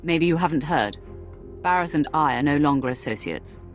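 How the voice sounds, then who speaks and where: An imperious dark-side lord in a space-fantasy game. Her voice is on the low side for a woman, cool and sardonic, every phrase delivered as command. Faint music runs behind her. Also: lively intonation, moderate pace. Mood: dry, imperious. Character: commanding, dry, imperious female Sith lord. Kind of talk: video game voice acting.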